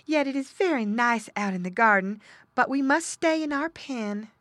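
A woman's voice: fairly high pitch, expressive, normal speed, fairly bright tone, no room sound, pitched above the performer's own voice. A woman voicing an anxious, motherly female pig in a children's storybook, fretful and exclaiming. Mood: resigned.

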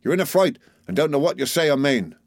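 A man's voice: mid-range voice, sing-song, fast talker, no echo, studio quality, pitched above the performer's own voice. Sneering villainous clerk played by a male narrator, disdainful, muttering and snarling, false humility, novel character.

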